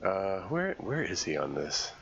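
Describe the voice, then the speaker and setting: A man streaming a game, congested from a cold, commenting live and thinking aloud in a halting way as he works through puzzles. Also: deep voice, sing-song, gravelly, fairly bright tone, breathy edge, highs cut off.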